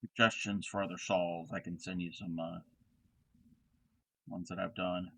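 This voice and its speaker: A man with a Southern American accent chats his way through a crochet livestream, his voice sitting a little low but coming across bright and forceful. He talks in a relaxed, easy way, with many sentences trailing off into quiet murmurs as he keeps working. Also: unhurried, creaky, breathy edge. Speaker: host. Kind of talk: casual crochet chat on a livestream.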